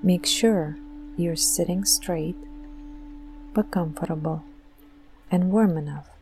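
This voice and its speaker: Slow, low, soothing woman guiding meditation. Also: music in the background, rough recording. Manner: gentle, soothing, deliberate with long pauses. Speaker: meditation guide and host. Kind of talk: guided meditation.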